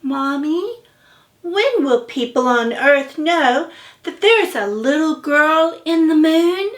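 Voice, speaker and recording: A woman voicing a dreamy, eager little moonling girl in a picture-book reading, with drawn-out phrasing. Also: high voice, lively intonation, pitched above the performer's own voice. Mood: curious. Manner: drawn-out, deliberate storybook phrasing.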